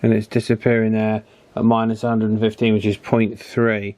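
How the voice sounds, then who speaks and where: A man giving a casual, hands-on repair demonstration, chatty and unhurried, thinking aloud as he works. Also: fairly low pitch, completely flat delivery, background noise. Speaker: radio repair video presenter. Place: indoor electronics repair bench.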